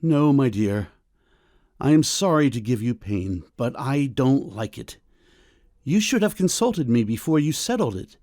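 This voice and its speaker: A man playing a stern, middle-aged doctor and father in a period audiobook drama, with a measured, formal, coolly ironic manner. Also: medium pitch, big pitch swings, warm tone, no room sound, studio quality. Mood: stern, disapproving. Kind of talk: full-cast audiobook period drama acting.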